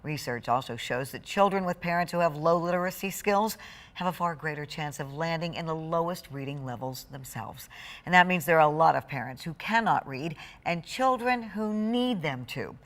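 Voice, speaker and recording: A woman's voice: low-pitched, lively intonation, moderate pace. A woman anchor reading a scripted news introduction.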